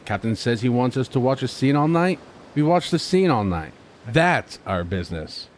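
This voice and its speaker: A man's voice: mid-range voice, big pitch swings, moderate pace, a little hiss or hum, lightly acted. A man playing a stoic, dismissive police officer who just follows orders, in a naturalistic audio drama. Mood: firm, dismissive.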